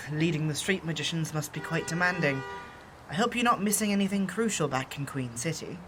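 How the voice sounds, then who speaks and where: An actor playing a dignified exiled shifter who speaks in formal, measured, old-fashioned phrases. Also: male voice, fairly high pitch, music in the background, close to an everyday voice. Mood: solemn.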